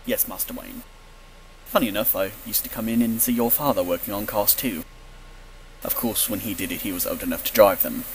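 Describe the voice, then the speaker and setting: Man as older butler, lowish voice, formal, measured, dryly wry, fatherly, audio drama, faint noise. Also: close to an everyday voice, rough recording. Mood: wry, earnest. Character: dry, dutiful older male butler, fatherly.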